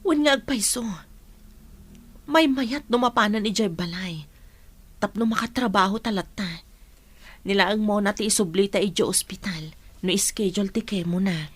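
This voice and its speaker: A woman's voice: medium pitch, moderate pace, pauses often, breathy edge, close to an everyday voice. A woman playing a caring, practical, patient mother in a radio drama. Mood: hopeful, practical.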